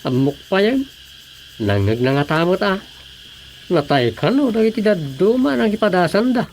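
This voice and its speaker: A man's voice: sits high, animated, moderate pace, a little mellow, a little hiss or hum. A man playing a gossipy, grumbling villager in a radio comedy drama, with an Ilocano Filipino accent.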